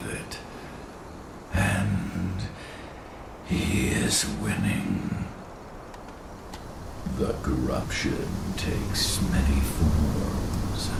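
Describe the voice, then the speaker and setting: Ancient, gravel-deep spirit, very slow. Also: male voice, full character voice. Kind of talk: video game voice acting. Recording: echoey, with clear background noise.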